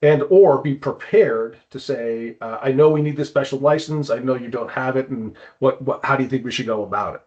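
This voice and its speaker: A man's voice: mid-range voice, a little fast, hardly any pauses, a little mellow. A man giving an informal explanatory presentation in a conversational way, on slightly dull lo-fi audio.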